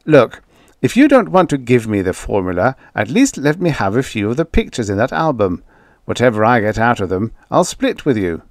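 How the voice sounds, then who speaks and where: Greedy, desperate hustler, read by a male narrator, pushing hard, raised lively voice, dry sound. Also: mid-range voice, moderate pace, dry recording. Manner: pushy, wheedling.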